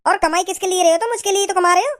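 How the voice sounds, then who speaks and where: A woman's voice: extremely high pitch, rapid-fire. A woman playing a plain-spoken village mother in a comedy cartoon. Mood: reproachful.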